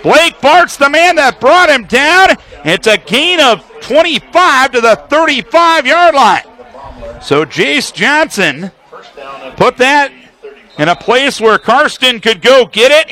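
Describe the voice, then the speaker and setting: Male sports announcer, high forceful voice, radio football play-by-play, clipped descriptive phrasing. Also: takes it slowly. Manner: clipped descriptive phrases, excited calls on big plays. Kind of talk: live sports commentary, play-by-play.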